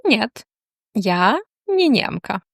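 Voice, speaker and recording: A woman teaching a language, reading slow, deliberate question-and-answer drills with long pauses. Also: on the high side, sing-song, creaky, fairly bright tone, no room sound, studio quality.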